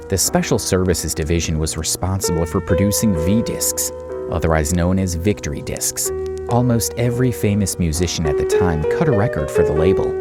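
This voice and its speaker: A man reading scripted documentary narration. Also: sits low. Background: music.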